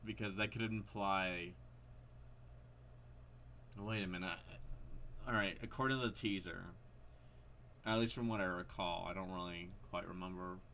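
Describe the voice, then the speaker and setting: A man's voice: sits low, steady tone, normal speed, long pauses, pushed and bright, slight background noise. A man giving casual, unscripted game commentary, hesitant and rambling as he thinks aloud. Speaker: video creator commenting on a horror game.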